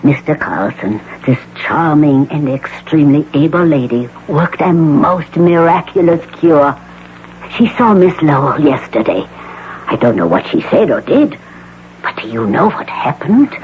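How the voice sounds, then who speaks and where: An actor playing a courteous, formal male doctor with measured, precise diction in a 1980s radio drama. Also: on the high side, lively intonation. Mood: pleased, courteous. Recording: tinny, noisy old radio broadcast.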